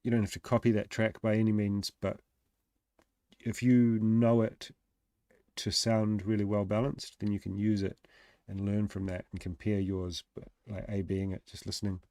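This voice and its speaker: A man with a blocked nose and a croaky voice from illness, casually giving feedback on tracks in a live stream. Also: fairly low pitch, moderate pace, no room sound. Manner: relaxed, thinking aloud with fillers.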